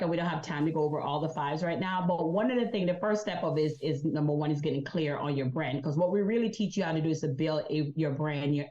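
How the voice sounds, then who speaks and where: A woman's voice: low voice, roomy sound, lo-fi sound. A woman from Jamaica with a Jamaican accent, a business coach explaining her method as a guest on a podcast. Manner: energetic, explains step by step. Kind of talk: podcast interview.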